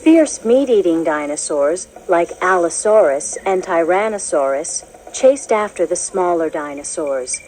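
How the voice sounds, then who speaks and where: A woman narrating a children's educational book, reading in a clear, measured, explanatory way as if to young listeners. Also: lowish voice, expressive, a little mellow, slight background noise. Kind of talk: scripted storybook narration.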